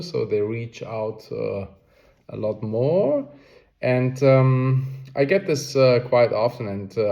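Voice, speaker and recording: Slow, mellow-voiced man explaining informally. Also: fairly low pitch. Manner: hesitant, halting delivery with frequent checking "okay?". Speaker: amateur radio explainer, presenter. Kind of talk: informal unscripted explainer lesson.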